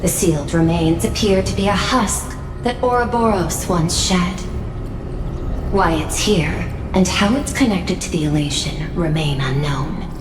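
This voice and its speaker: Actress as a detached game advisor, female, lower register, formal, precise, echoing, music behind. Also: lightly acted. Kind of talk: video game dialogue acting.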